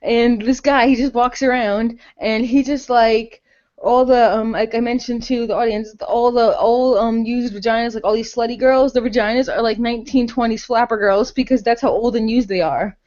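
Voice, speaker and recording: Young female podcast co-host, high register, gushing and slangy, muffled voice-chat sound. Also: steady tone, moderate pace, darkish tone. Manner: gushing, enthusiastic; sweary, slangy.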